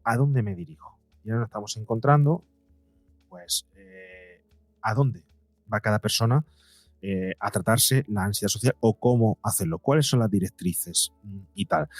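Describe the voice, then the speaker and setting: A man's voice: lowish voice, no room sound. Wordy man explaining as interview guest. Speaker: interview guest, psychologist and podcaster.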